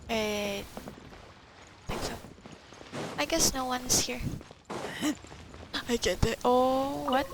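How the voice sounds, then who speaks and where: A woman's voice: high-pitched, vocal fry, background noise. A young woman giving casual gameplay commentary, rambling and thinking aloud. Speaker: gaming video player. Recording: game music loud behind voice. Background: video game music.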